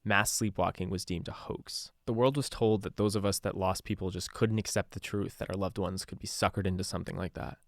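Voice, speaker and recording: A low-pitched, embittered radio DJ played by a male actor records a confessional account for a podcast drama. He talks conversationally, weary and sardonic, in a very dry, close sound. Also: steady tone, a little fast, hardly any pauses, no room sound. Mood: grave recounting. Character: male radio DJ turned secretive investigator, embittered and haunted. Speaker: radio DJ narrating a taped case file.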